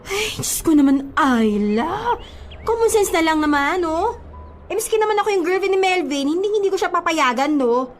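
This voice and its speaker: A blunt, sassy best friend with a Filipino accent in a radio drama. She is high-pitched and swoops dramatically as she nags and scolds, exasperated and sarcastic. Soft music sits behind her. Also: sing-song, moderate pace. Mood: blunt, scolding. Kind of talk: radio drama acting in Taglish.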